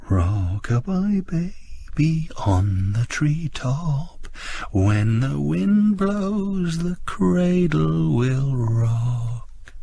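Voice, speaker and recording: A man voicing a gaunt, unhinged mother who sings a lullaby slowly in a thin, uncertain voice. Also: on the high side, expressive, vocal fry, mellow, pitched above the performer's own voice, heavily acted. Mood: eerie, tender. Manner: slow, eerie lullaby singing.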